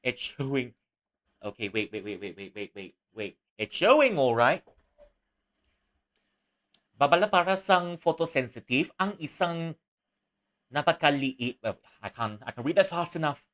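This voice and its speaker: A man's voice: mid-range voice, very animated, normal speed, pauses often. Rambling young man, chatty streamer. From Malaysia. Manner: rambling, chatty, frequent restarts and soft laughs. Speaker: livestreamer. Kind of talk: casual livestream chat.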